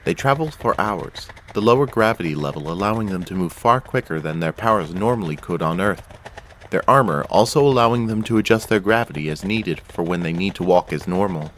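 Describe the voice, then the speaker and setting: A man's voice: deep voice, lively intonation, a little fast. A man giving scripted narration for an audio drama, telling the story as its narrator. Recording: faint background noise.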